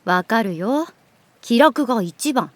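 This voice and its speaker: A woman playing a lovestruck teenage girl in a Japanese audio drama, teasing yet wistful, speaking in an unhurried way. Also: on the high side, lively intonation, no room sound. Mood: playful, teasing. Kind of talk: Japanese audio drama acting with soft inner monologue.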